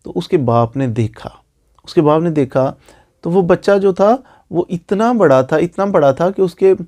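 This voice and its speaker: A man's voice: on the high side, lively intonation, measured pace, darkish tone. A man with a Pakistani accent giving an informal legal explainer as a lawyer, repeating phrases for emphasis. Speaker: lawyer explaining law online.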